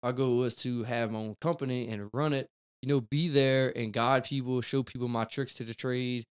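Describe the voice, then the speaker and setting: A young man talks loosely as a podcast guest, rambling and tossing in "dude" and "man". His voice is smooth and clear, sitting at a middle pitch for a man. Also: fairly even intonation, moderate pace. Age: 20s. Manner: rambling, laid-back, peppered with "dude" and "man". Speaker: guest, car detailer. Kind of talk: casual podcast chat.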